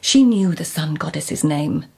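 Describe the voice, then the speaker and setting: A woman playing a cool, astute lady-in-waiting in a radio drama, speaking formally and drily with a husky voice. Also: low voice, animated, unhurried, darkish tone, full character voice. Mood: measured. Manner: formal, crisp phrasing, dry.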